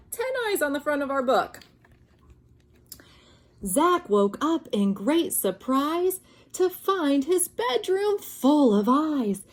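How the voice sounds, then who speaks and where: A woman reading aloud to young children in an expressive, sing-song manner, like a teacher guiding them through a picture book. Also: high-pitched. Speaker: children's story reader, teacher.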